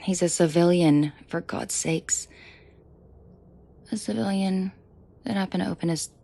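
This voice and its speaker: A woman playing a commanding, protective mafia boss in an intimate roleplay audio drama, shifting between cold authority and soft coaxing. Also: lowish voice, unhurried, creaky. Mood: furious, scornful. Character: commanding female mafia boss, furious yet protective and tender.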